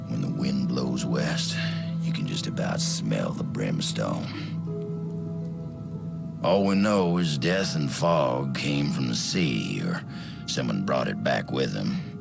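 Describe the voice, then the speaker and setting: A grizzled old cowboy speaks in a very deep, gravelly drawl, slow and laconic. He talks in a folksy way, like a game character. Loud game score plays under him. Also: full character voice. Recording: game score playing loudly behind.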